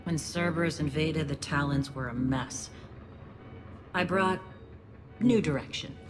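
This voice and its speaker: A woman's voice: low voice, a little slow. A woman voicing a principled, guarded alien gang leader in a video game, speaking with firm command. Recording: loud music under the voice.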